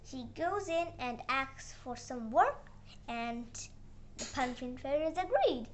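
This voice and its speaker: Slow-paced, high-pitched child storyteller. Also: expressive, slight background noise. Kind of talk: narrating a moral fairy tale.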